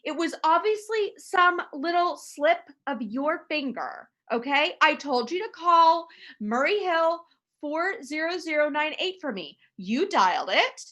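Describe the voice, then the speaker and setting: A woman's voice: sits high, animated, unhurried, pushed and bright, full character voice. A woman playing a nervous, frail, imperious housewife in a radio play, fretful and dramatic. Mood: indignant, demanding.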